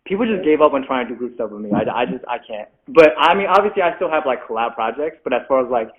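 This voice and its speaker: A man's voice: mid-range voice, lively intonation, fast talker. Chatty young man on a tinny call. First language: Mandarin. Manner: chatty, says "like" constantly, self-deprecating laughs. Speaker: interview guest, rapper. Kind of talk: casual interview chat. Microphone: video call. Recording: phone-like video call audio.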